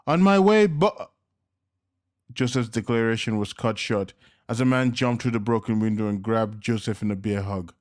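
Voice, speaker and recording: A man narrating a crime storybook in a dramatic style, dropping low on tense moments. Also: little pitch movement, fairly quick, dry recording, clean studio sound. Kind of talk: scripted audiobook narration.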